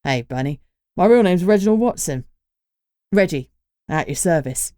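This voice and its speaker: A woman voicing a cheeky, easygoing young man with a Cockney accent, talking low and quick. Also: lively intonation, mellow, dry recording, clean studio sound, close to an everyday voice. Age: young adult. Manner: cheeky, laid-back, quick talk.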